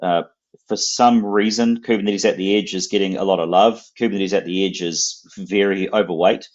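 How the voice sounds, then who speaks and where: A man's voice: sits low, steady tone, moderate pace, highs cut off. A man with a New Zealand accent, a guest casually explaining technical ideas and often repeating words as he thinks.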